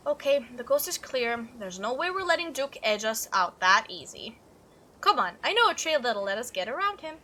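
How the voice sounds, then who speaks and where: Woman playing an eager young monster hunter, bright voice. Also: fairly high pitch, big pitch swings, normal speed, slight background noise, voice pushed down. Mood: conspiratorial. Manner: casual, playful, coaxing. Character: eager, teasing young female amateur monster-hunting video maker.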